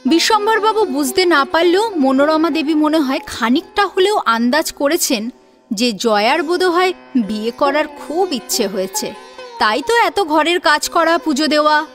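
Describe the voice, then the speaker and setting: Casting: female storyteller for a serial, high voice, expressive intonation, music underneath. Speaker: narrator and voice actor. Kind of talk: dramatic scripted storytelling.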